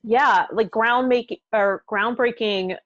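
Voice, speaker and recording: A woman co-hosting a podcast, chatting casually in a measured, agreeable way. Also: fairly high pitch, fairly bright tone, no echo, muffled recording. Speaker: podcast co-host. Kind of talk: casual conversational chat.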